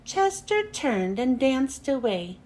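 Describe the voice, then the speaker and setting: A woman narrating a children's storybook as a read-aloud host, in an expressive, careful storytelling manner. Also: high-pitched, takes it slowly, poor audio.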